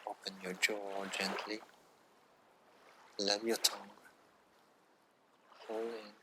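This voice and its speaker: A man guiding a yoga relaxation meditation in a hushed, soothing manner, in short phrases with long pauses. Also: on the low side, level tone, noisy background. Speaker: yoga nidra guide.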